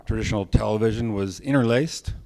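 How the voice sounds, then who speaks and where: A man's voice: fairly low pitch, moderate pace, darkish tone. A man giving an informal conference talk on technique as a presenter, speaking in short phrases. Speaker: cinematography presenter.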